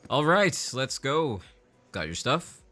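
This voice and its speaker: An easygoing, affectionate dad in an audio drama. He is chipper and teasing, with very animated inflection over faint music. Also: medium pitch, slow, full character voice. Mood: warm, playful.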